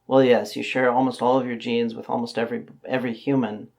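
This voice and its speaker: A man with a hoarse voice talks through viewer comments in a calm, reasoning tone. His pitch sits in the middle range, and he often pauses, says "uh," or restarts a sentence while working out his point. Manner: measured, analytical, with frequent fillers and restarts. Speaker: channel host answering viewer comments. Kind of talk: casual spoken commentary.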